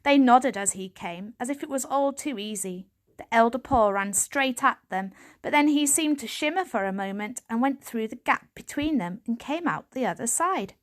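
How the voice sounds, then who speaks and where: Female narrator for children's fiction, slightly high voice, dramatic scripted storytelling. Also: lively intonation, moderate pace, few pauses. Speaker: audiobook reader.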